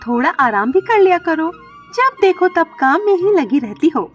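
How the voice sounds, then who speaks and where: A woman's voice: very high-pitched, sing-song, moderate pace, highs cut off, faint music behind, pitched above the performer's own voice. A woman voicing a caring, worried elderly mother bird in a children's cartoon story, with a fussing motherly manner.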